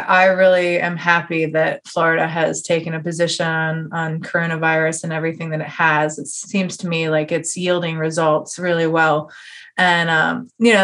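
A woman's voice: fairly low pitch, fairly bright tone. An American woman hosting a casual, chatty interview in an informal, effusive way. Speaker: podcast host.

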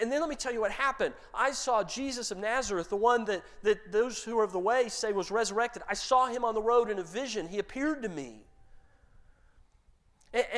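A man preaching a sermon, retelling a story with lively quoted dialogue. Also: very high voice. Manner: animated retelling, quotes characters in his own voice. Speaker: pastor.

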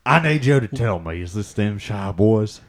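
A man's voice: on the low side, lively intonation, moderate pace, lightly acted. A man putting on a folksy, pushy Louisiana bayou man with a drawling accent and an aggrieved streak, in improvised comedy. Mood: indignant, insistent. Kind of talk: improvised comic character interview.

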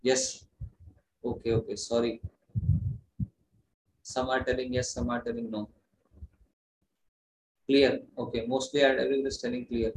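A man's voice: mid-range voice, lively intonation, a little slow, long pauses. A man preaching on scripture to an online class, explaining earnestly, restarting his phrases as he goes. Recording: roomy echo with faint noise.

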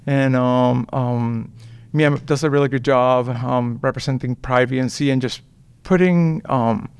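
A man, chatting as an interview guest and pausing often with "um.". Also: mid-range voice, steady tone, clear, resonant tone, no room sound. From Puerto Rico. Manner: hesitant, many ums and restarts. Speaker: guest, veterinarian. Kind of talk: casual interview chat.

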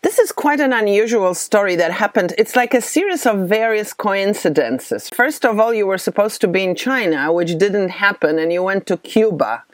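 A woman's voice: medium pitch, expressive, normal speed, hardly any pauses, no echo, clean studio sound. A woman hosting a podcast interview, prompting and summarizing her guest's story. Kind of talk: interview questions.

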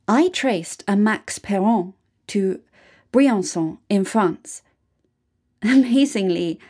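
A woman reading a scripted first-person story aloud in a deliberate manner, with dramatic pauses. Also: medium pitch, darkish tone. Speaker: reader of a written true story.

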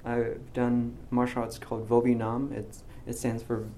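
A young man in his twenties, a graduating physics student, talking in a casual interview, soft-spoken and hesitant with many ums and restarts. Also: mid-range voice, completely flat delivery, moderate pace, slight background noise. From United States. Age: 20s.

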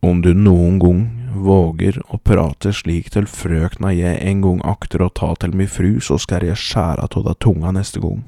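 Man acting out a fierce, loud, low-voiced hunter. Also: fairly even intonation, vocal fry, no echo, clean studio sound. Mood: menacing, angry. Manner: loud, menacing, deliberate. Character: fierce, threatening young backwoods hunter defending his sweetheart.

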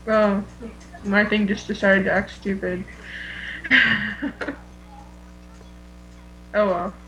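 A woman's voice: medium pitch, steady tone, very slow, pushed and bright, slight background noise, low-quality recording. A woman chatting informally as a podcast co-host in an offhand, conversational way. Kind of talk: casual chat.

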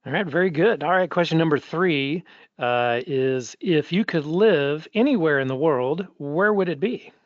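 A podcast host with a fairly high voice reads announcements and closes the show in an upbeat, promotional tone. The recording is dry and a little dull. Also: male voice, expressive, normal speed, no echo. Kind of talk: podcast hosting and sign-off announcements. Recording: dry, slightly dull audio.

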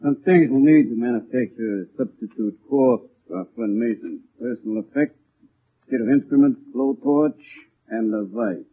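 An actor playing a callous, bossy crook, blunt and commanding in a 1940s radio thriller style. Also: male voice, medium pitch, moderate pace, slightly rough, lightly acted. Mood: businesslike. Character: callous, bossy male crook, matter-of-fact about killing. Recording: muffled, narrow-band 1940s radio recording.

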